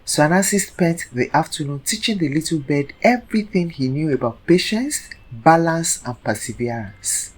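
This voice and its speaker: A woman storyteller narrating a scripted folktale in a dramatic, deliberate manner. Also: low-pitched, animated, slightly rough, rough recording.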